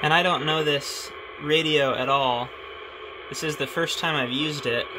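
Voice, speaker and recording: A man thinking aloud in a relaxed way as he tests his CB radio in a garage. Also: fairly high pitch, a little slow, background noise. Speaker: radio hobbyist demonstrating his CB radio. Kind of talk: casual hobby video narration. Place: home garage.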